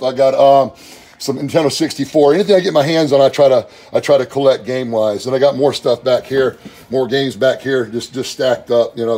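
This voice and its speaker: A man in his forties giving a relaxed home tour vlog of his collection room, filmed on a handheld phone, talking in a loose, rambling way with lots of fillers and restarts. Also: medium pitch. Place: home game and toy room, indoors. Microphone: handheld phone camera.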